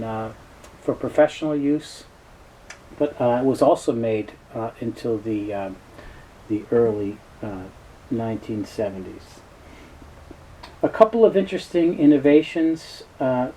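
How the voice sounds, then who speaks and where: Middle-aged man, relaxed dark timbre, informal specialist explainer, halting unscripted delivery, noisy room recording. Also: mid-range voice. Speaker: presenter, tape specialist. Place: small cluttered indoor office. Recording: noticeable background noise, room ambience.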